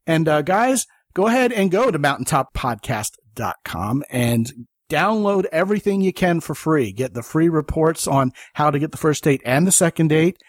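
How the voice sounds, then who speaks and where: A man's voice: on the high side, animated, moderate pace, no echo, clean studio sound. Chatty American man hosting a podcast. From United States (Maryland). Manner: chatty, enthusiastic, conversational. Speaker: podcast host. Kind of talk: casual conversation and show sign-off.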